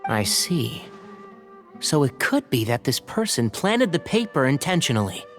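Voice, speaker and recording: A man's voice: mid-range voice, very animated, measured pace, fairly bright tone, faint music behind. A man voicing an earnest, thoughtful young scholar in a video game, polite and careful. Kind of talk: English video game dub acting.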